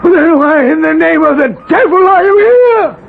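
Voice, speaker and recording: A very shrill, gleeful tempter demon. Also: male voice, animated, normal speed, creaky, heavily acted. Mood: coaxing, prying. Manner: theatrical, commanding, cackling, archaic speech. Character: gleeful, tempting male dwarfish demon, commanding and sly. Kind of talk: 1970s radio drama acting. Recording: muffled, noisy old radio broadcast recording.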